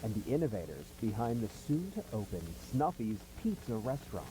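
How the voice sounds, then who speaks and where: A man playing a polished company founder giving a scripted, salesmanlike promotional pitch full of rhetorical questions, with some noise on the recording. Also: sing-song, normal speed, mellow, rough recording.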